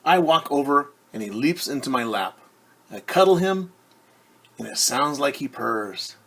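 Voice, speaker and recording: A man teacher reading a picture book aloud to children in an expressive, deliberate way, with wondering asides. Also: mid-range voice, slight background noise. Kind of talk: storytime read-aloud with commentary for children.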